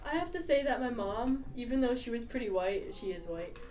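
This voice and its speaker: A woman's voice: on the high side, lively intonation, a little fast, darkish tone, slight background noise. A teenage girl chatting casually about her mother and their traditions. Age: teens. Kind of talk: casual interview answer. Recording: distant, echoey room.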